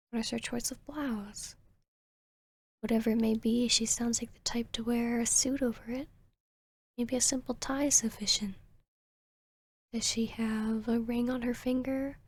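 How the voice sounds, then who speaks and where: Woman playing a breathy, slow-talking hypnotic vampiress. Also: a little high, flat delivery. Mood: soothing, probing. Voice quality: breathy, half-whispered. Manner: slow, soothing, commanding, teasing. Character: seductive, arrogant vampiress posing as a soothing hypnotic therapist. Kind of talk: ASMR roleplay, hypnotic guided induction.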